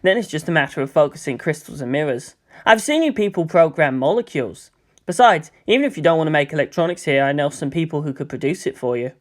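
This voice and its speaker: A man's voice: fairly high pitch, expressive, fast, natural voice. A man voicing an earnest teenage boy, a psychic student who speaks politely and precisely in an audiobook. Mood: earnest, explaining.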